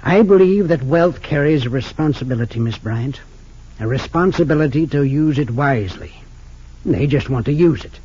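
An actor playing a wealthy, suspicious father of sixty-eight in an old radio drama, earnest and slightly halting. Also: a little high, animated, dark tone, husky. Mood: bitter, suspicious. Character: wealthy, suspicious 68-year-old father, bitter about his sons. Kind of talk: 1940s-50s radio drama acting. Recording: dull lo-fi old radio recording.

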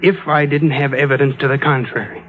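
A man's voice: mid-range voice, little pitch movement, a little fast, natural voice. An actor playing a bereaved, desperate man, earnest and insistent, in mid-century radio drama style. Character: bereaved, desperate man insisting his love still lives. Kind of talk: 1940s-50s radio drama acting. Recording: dull old radio recording.

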